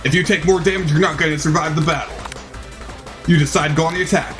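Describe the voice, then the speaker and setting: A man's voice: a little high, steady tone, quick pace, soft background music. A man reading out a dating simulator as dramatized, theatrical game narration.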